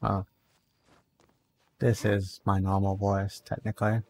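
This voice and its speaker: A soft-spoken, quiet man who is a little under the weather, chatting casually with viewers while streaming a game. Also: level tone, takes it slowly, creaky, slight background noise. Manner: low-key, chatting with viewers between play. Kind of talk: casual gameplay stream chat.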